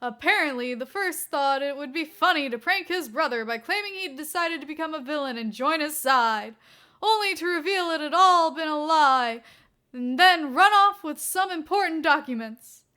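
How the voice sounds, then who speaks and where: A woman's voice: sits high, lively intonation, normal speed, pushed and bright, pitched above the performer's own voice. A woman voicing a mischievous middle-aged male superhero who plays the gleeful villain, boastful and theatrical in an audiobook-style story.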